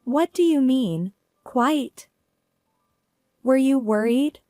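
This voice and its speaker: A woman voicing a cheerful, reassuring young college daughter with a bright, girlish lift. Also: high voice, expressive, extremely slow, dry recording, studio quality, pitched above the performer's own voice, heavily acted. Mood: teasing. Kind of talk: scripted narration.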